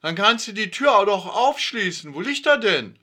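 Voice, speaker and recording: A man voicing a boastful, eager middle-aged roofer buddy in a comic story read aloud, talking loudly. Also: high-pitched, very animated, moderate pace, voice pushed up, heavily acted.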